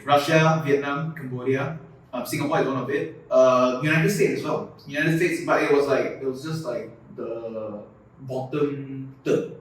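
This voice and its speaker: A man's voice: medium pitch, measured pace. Chatty young man, echoey room. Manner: chatty, repeats words often. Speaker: guest, content creator. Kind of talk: casual podcast interview. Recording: echoey room, mic at a distance.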